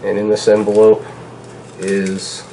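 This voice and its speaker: A man in his thirties with a fairly low voice talks casually to his viewers from a home kitchen, his nose stuffed up from a cold. He rambles in a relaxed way, full of "uh" and "you know", recorded by a camera across the table with a faint hum of room ambience behind him. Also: slow pace, gravelly, low-quality recording. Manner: relaxed, chatty, many fillers. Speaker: gun channel host. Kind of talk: casual product vlog.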